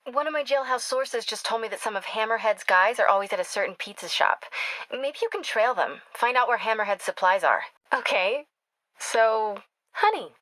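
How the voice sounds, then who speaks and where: Young female reporter for a video game: bright, forward voice, close dry sound, earnest and wry, natural phone-call delivery. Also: on the high side, no echo. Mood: urgent. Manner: conversational, earnest, confiding.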